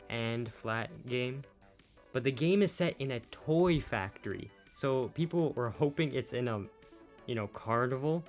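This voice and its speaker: A man hosts a casual gaming news summary in an informal, conversational way. His voice sits at a middling pitch. Soft background music with guitar plays behind him. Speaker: YouTube host giving gaming news. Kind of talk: casual news summary commentary over images.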